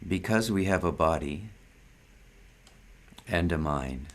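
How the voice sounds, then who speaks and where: A man giving a meditative spiritual talk as a satsang teacher, speaking in measured, deliberate short phrases with long pauses. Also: low-pitched, animated, fairly bright tone.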